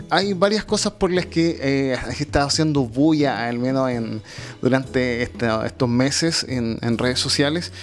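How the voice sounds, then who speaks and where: A man with a Chilean accent hosting an informal radio interview. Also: fairly high pitch.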